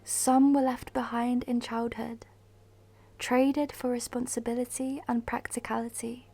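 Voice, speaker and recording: Breathy, slow-speaking woman guiding meditation. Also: on the high side, little pitch movement. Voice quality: breathy, soft, half-whispered. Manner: soothing, measured, with long pauses. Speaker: meditation guide. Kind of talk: guided meditation, scripted narration. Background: music.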